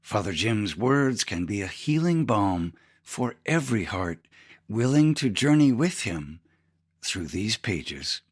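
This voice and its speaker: A man's voice: lowish voice, very animated, slow, husky. A man, a priest, reading a scripted audiobook narration.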